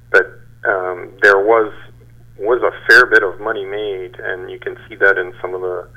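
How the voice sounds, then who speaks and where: A man in his sixties giving halting, measured answers as an interview guest. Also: lowish voice. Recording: dull band-limited phone-like sound.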